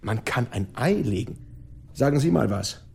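An absurd, insistent fellow in an experimental radio play. His mid-pitched voice is creaky and husky, and he repeats himself stubbornly. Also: lively intonation, moderate pace, darkish tone, exaggerated voice. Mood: deadpan absurd. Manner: repetitive, insistent.

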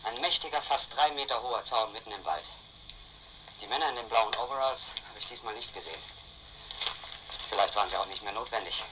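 A man's voice: lowish voice, a little fast, pauses often, background noise, close to an everyday voice. An actor playing a brooding, obsessed man who narrates his own investigation in a radio play, intense and questioning himself. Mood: brooding, agitated.

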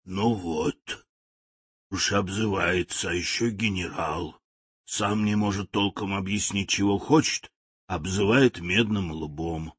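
A man voicing a hoarse, sulky talking machine that mutters complaints. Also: sits low, slow, a bit bright, no echo, studio quality, voice pushed down, heavily acted. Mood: grumbling.

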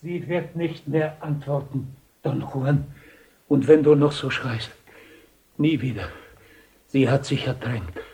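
Man acting slow, stern, condemning priest. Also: mid-range voice, mellow, lightly acted. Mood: grave, accusing. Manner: solemn, grave, accusing. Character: stern, condemning priest bearing news of death. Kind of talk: 1970 radio play acting.

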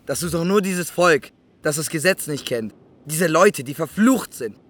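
A man's voice: on the high side, expressive, measured pace, a bit bright. A man playing a hostile, scornful doubter from a crowd in an audio drama, with an accusing manner.

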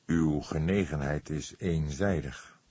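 Audiobook role: old male inspector, deep and husky, probing, measured, wry read by a man. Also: dark tone, no room sound, full character voice. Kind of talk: audiobook character dialogue.

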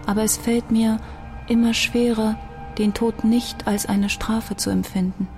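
A woman's voice: fairly high pitch, steady tone, unhurried, a little mellow, soft background music. An actress playing a dying young woman musician who speaks in a grave, introspective, bitter way, in a radio drama monologue. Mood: anguished, bitter.

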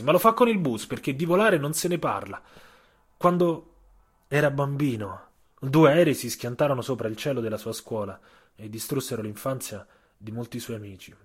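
Husky male storyteller with dramatic pauses. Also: mid-range voice, expressive. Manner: measured, dramatic pauses and emphasis. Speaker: writer and narrator of an audio story. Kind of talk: literary scripted narration for radio.

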